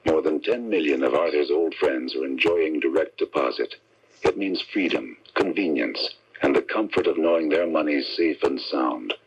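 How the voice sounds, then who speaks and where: A man's voice: rumbling low, normal speed, gravelly, breathy edge. A man reading a scripted public service announcement on 1970s radio. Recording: dull 1979 AM radio recording.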